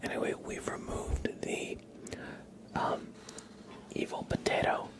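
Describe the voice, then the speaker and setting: A man whispering slowly and playfully in an ASMR roleplay style, telling absurd stories with a straight face. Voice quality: breathy whisper with creaky edges. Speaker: ASMR livestream host.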